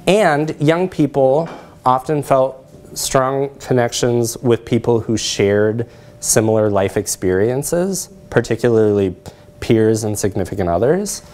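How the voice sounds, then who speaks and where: A man's voice: mid-range voice, measured pace, a little mellow. Man presenting research in a lecture room. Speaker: presenter, social work researcher. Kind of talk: lecture with audience discussion. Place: lecture room, at the front by a screen.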